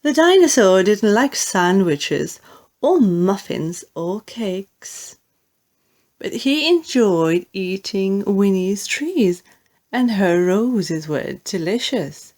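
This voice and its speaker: A woman narrating a children's storybook in an expressive, deliberate way. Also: mid-range voice. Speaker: audiobook narrator.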